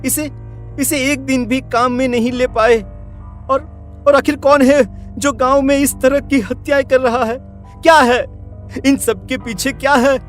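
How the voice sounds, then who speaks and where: A man acts an anguished young villager with a high voice. He is earnest and halting yet determined, in horror-story style with faint music. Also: steady tone. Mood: grieving, resolved.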